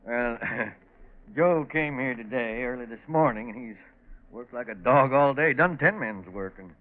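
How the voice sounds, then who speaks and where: An actor playing a genial, neighborly middle-aged farmer, folksy and hesitant, in 1940s radio drama style. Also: male voice, mid-range voice, animated, a little slow, close to an everyday voice. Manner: folksy, hemming and chuckling. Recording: muffled old 1940s radio recording.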